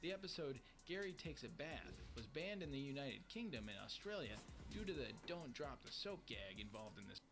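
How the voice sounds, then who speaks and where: A man's voice: mid-range voice, normal speed, few pauses. Man narrating a countdown over music. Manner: even, read-aloud delivery. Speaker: list video narrator. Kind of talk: scripted narration. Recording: music bed under the voice. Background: background music.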